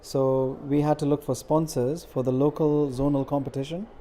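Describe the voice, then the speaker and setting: Earnest middle-aged man, mellow voice. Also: on the high side, flat delivery, slight background noise. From India. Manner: earnest, measured with frequent fillers. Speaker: interviewee, community organizer. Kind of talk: interview answers.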